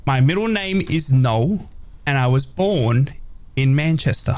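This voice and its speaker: A man's voice: mid-range voice, lively intonation, measured pace, a little mellow. A man co-hosting a sports radio show, presenting a quiz like a playful, teasing showman. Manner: theatrical, teasing. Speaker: sports radio co-host running a quiz segment.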